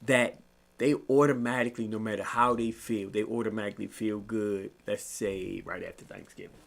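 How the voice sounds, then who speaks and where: Gravelly, low-voiced sarcastic man. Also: steady tone, normal speed. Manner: sarcastic, rambling. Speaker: co-host. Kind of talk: casual late-night chat.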